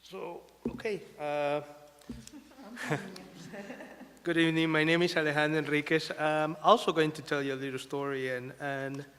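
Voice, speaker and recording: A man from Mexico telling a personal story to an audience as a panelist, halting with fillers and restarts. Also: a little high, little pitch movement, a bit bright, slight background noise. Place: museum event hall. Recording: long reverb of a hall.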